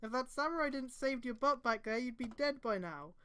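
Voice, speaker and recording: A woman's voice: on the high side, expressive, fairly quick, a bit bright, voice pushed up. A woman voicing a young female ninja apprentice, an eager worrywart, earnest and pleading, in a fan fiction reading. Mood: worried. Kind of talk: fanfiction read-aloud dialogue.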